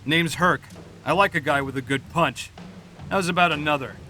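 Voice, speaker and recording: A man's voice: a little high, normal speed, sharp tone, a little hiss or hum. A man playing a blunt, easygoing male demigod brawler. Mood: friendly. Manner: laid-back, blunt.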